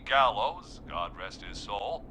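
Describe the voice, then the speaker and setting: An actor playing a smug small-town politician in a video game, with a pompous, rehearsed speechmaking manner. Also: male voice, lowish voice, sing-song, takes it slowly, bright, forceful tone, slight background noise, exaggerated voice. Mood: pompous, solemn.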